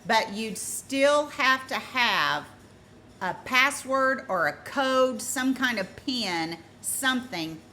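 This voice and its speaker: A woman with a fairly high, bright and forceful voice talks through a true-crime case informally. She is emphatic and deliberate, circling back to stress her points. Some noise sits behind her voice. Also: lively intonation.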